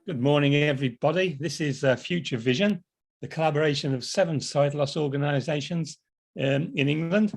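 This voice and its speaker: Male host on a video call, slightly higher voice, relaxed with fillers. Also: brisk, gravelly. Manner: relaxed, with ums and uhs. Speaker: event host. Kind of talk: hosting an online event.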